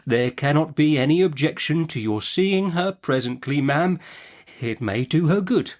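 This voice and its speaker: A man voicing a meek, nervous gentleman doctor from a storybook, soft, hesitant and deferential. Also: on the high side, lively intonation, unhurried, pitched above the performer's own voice. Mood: mild, deferential.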